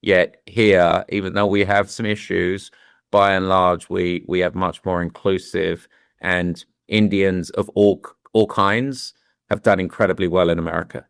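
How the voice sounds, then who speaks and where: Deep-voiced middle-aged British man. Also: level tone, measured pace, gravelly, smooth, no room sound, studio quality. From London, England. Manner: thoughtful, with frequent restarts and "you know"s. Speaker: guest. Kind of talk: candid reflective conversation.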